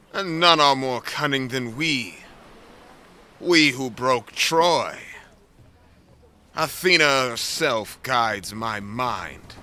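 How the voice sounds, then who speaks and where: A man's voice: mid-range voice, pushed and bright. A man playing a proud, cunning Greek warrior king in an epic audio drama, declaiming with command.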